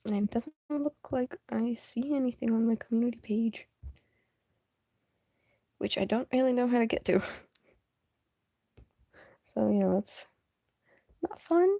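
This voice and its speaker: A young woman chatting casually on a live stream while she draws, soft and half-whispered, sniffly, her voice going hoarse. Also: on the high side, dry recording, clean studio sound. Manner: soft, often half-whispered, hesitant and rambling.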